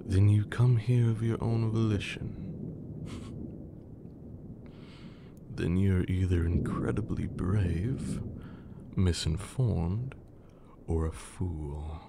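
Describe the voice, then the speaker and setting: A man playing a haughty, menacing, teasing vampire lord in an ASMR roleplay, with a theatrical drawl that often sinks toward a breathy whisper. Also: low-pitched, extremely slow, long pauses, very noisy, exaggerated voice. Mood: menacing, proud. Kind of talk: ASMR roleplay, scripted character acting.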